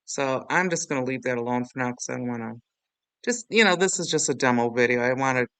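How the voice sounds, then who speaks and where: Bright, clear-voiced man giving a casual tutorial. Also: medium pitch, fast, no room sound. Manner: informal, rambling, self-deprecating. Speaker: tutorial host. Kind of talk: casual screen-recording software walkthrough.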